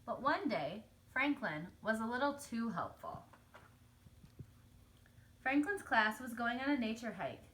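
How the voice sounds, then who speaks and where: A woman narrates a children's storybook aloud. The voice sits fairly high and is very bright, and she tells the story clearly for young listeners. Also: very animated, measured pace, pauses often, slight background noise, low-quality recording. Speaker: storybook reader.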